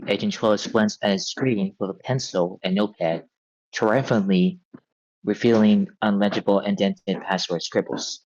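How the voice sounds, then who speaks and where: A man's voice: fairly low pitch, steady tone, moderate pace, darkish tone, dry recording, lo-fi sound. A man narrating a script's stage directions at a table read.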